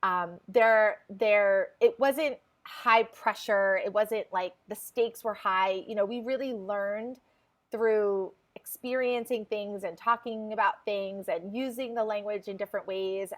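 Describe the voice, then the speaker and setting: A woman's voice: mid-range voice, steady tone, a little slow. A woman in her forties, a podcast guest, telling her story in a chatty way with frequent laughs. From New Jersey. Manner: chatty, laughs often, restarts phrases. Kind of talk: casual interview chat.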